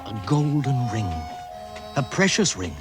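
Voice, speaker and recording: A man's voice: mid-range voice, big pitch swings, unhurried. A man narrating a radio drama as a solemn storyteller. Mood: solemn storytelling. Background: music.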